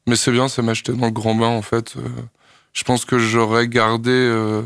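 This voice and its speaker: A young man from France, a singer-songwriter, answering radio interview questions in a thoughtful, hesitant way with many pauses and fillers. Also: mid-range voice, flat delivery, a bit bright, clear, resonant tone, dry recording, studio quality.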